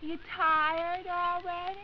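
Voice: very high voice, level tone, takes it slowly, lo-fi sound. A performer playing an eager, curious little girl who questions her daddy in a 1940s radio sketch, on a noisy old recording.

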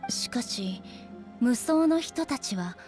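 A dignified, earnest ruler in an anime game. Her voice is high, and her delivery is formal and measured, with a solemn, literal-minded air. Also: expressive, a little mellow, faint music behind. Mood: calm. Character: dignified, earnest female ruler, naively puzzled by fiction. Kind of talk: acted anime-style game dialogue.

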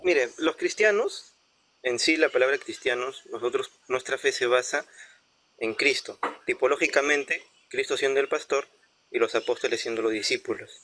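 A man's voice: mid-range voice, normal speed, pushed and bright, dry recording, rough recording. Hesitant Peruvian man, dry sound. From Peru. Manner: hesitant, many fillers. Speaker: caller. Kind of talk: call-in debate.

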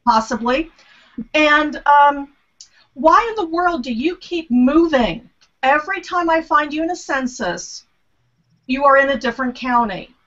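A woman's voice: sits high, animated, unhurried, fairly bright tone. A middle-aged American woman chatting casually as a panelist on a web video call from her home study. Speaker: panelist on a genealogy web show. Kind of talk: casual chat. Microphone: webcam video call. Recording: dull, compressed internet call audio.

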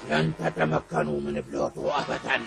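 A man voicing a big, greedy, bullying cartoon villain in an Arabic dub, gruff and boastful. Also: extremely low pitch, a little fast, full character voice. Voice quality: gruff, gravelly. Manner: boastful, gloating. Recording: loud music behind, old cartoon soundtrack.

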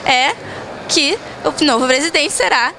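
A woman's voice: high voice, a little slow, noisy background. A young woman interviewing guests at an event, speaking emphatically and repeating her phrases. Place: event stage backdrop, indoors. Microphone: handheld mic.

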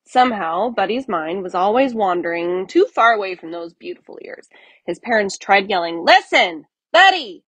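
A woman reading a children's story aloud in an expressive narrating style. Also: medium pitch, moderate pace, husky, no echo. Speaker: storybook reader.

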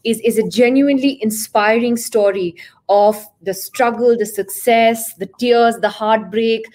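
A woman's voice: a little high, fairly even intonation, unhurried, darkish tone. A woman journalist in her forties interviewing a guest on a video call, fluent and emphatic with small restarts. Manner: fluent, emphatic, repeats words when starting. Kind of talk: warm interview conversation. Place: room at home with curtains behind. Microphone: laptop mic on a video call.

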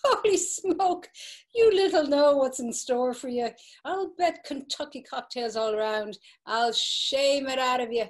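An actress playing a domineering brothel madam, commanding and mocking, in a dramatic reading over a video call. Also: female voice, sits high, unhurried. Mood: taunting. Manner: commanding, taunting. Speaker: stage actor.

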